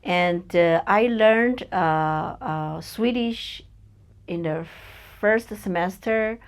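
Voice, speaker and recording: A woman with a Chinese accent, a native Chinese speaker, talks slowly as a podcast guest in a personal interview. She pauses and hunts for words with many fillers. Her voice carries a gravelly fry. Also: lowish voice. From China.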